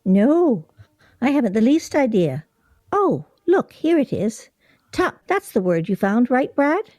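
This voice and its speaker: A breezy, innocent friend in a radio play. Her fairly high voice is soft-edged and mellow, and she takes her time over the words. The sound is dry with faint music. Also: big pitch swings, unhurried, no room sound. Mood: coy, curious. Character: airy, loyal, easily distracted female friend at game.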